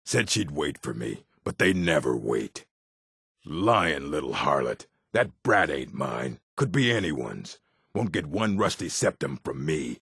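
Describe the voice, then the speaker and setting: Surly orc thug, husky rasp, rough slangy talk, close dry sound, video game. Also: male voice, rumbling low, sing-song, a little slow, a bit bright, dry recording, exaggerated voice. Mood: bitter, muttering. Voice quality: gravelly, husky. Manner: rough colloquial speech, dropped endings. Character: gruff, surly orc bandit, muttering and menacing. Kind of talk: video game character voice acting.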